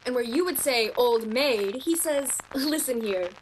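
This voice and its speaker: An actress playing a proud, dreamy young female shop clerk in a radio play, earnest and expressive with a haughty edge. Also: on the high side, a little slow, a little hiss or hum, rough recording. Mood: smug, defensive.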